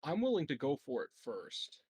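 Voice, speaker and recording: Male tabletop player, animated intonation, casual and sweary, dry sound. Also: a little high, normal speed, slightly rough, dry recording. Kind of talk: casual chat.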